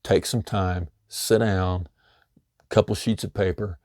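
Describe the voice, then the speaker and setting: A middle-aged man, slightly hoarse, giving a loose, conversational how-to talk full of "you know" fillers. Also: sits low, steady tone, slow, a little mellow, dry recording, clean studio sound. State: slightly hoarse, losing his voice. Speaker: homesteading channel host. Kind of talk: casual instructional talk.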